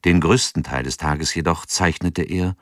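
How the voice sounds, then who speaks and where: An actor as a sober chronicler, narrating a documentary radio drama from a script. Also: male voice, deep voice, normal speed, no echo, clean studio sound, close to an everyday voice. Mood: sober, factual. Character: sober male chronicler of a crime case.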